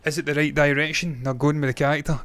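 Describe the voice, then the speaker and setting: A man's voice: fairly high pitch, little pitch movement, fast, fairly bright tone, no echo. A man in his thirties hosting a movie news show, talking casually, with rambling opinionated asides. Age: 30s.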